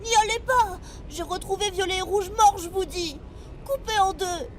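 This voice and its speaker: A woman playing an excitable, wide-eyed seven-year-old boy in a French sci-fi audio drama. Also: very high voice, moderate pace, noisy background. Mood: frightened, pleading. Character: eager seven-year-old boy intern aboard a space crew.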